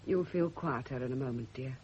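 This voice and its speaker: An actress playing a controlled, genteel woman friend in a vintage radio drama, speaking in a measured, soothing way. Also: very deep voice, darkish tone. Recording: dull, hissy vintage radio recording.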